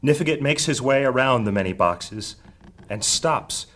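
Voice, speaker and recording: A man delivering dramatic, scripted narration for a high fantasy story. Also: animated, normal speed, a bit bright.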